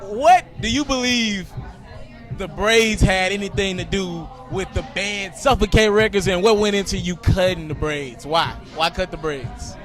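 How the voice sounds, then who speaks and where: A man's voice: sits high, unhurried, a bit bright, soft background music. A man hosting an interview, eager and constantly affirming his guest. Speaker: interview host. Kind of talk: casual interview, show promo.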